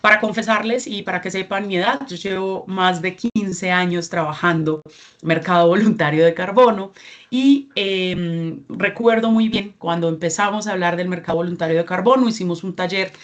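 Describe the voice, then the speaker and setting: Lower-voiced Colombian woman presenting. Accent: Colombian Spanish. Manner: measured, with frequent filler sounds and restarts. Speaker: regional representative giving a training talk. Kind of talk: presentation to a workshop audience.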